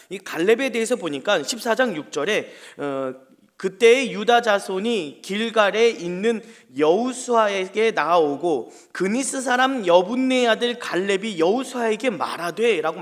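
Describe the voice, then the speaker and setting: A man's voice: high voice, a bit bright. A male pastor giving an earnest early morning sermon on a scripture reading, rising with emphasis when he quotes the text.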